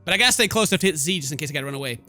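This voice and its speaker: A man plays a horror game for viewers in an excitable, theatrical way. His voice is high and bright, mixing dramatic reading with jokey asides, with game music behind him. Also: rapid-fire. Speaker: gaming streamer. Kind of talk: horror game playthrough, dramatic read-aloud and casual commentary.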